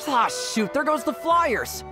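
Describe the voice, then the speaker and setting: A woman's voice: high-pitched, very animated, slow, full character voice. A woman voicing a timid, boastful young boy in a video game, flustered and stammering. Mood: anxious, grumbling. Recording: clear music behind the voice.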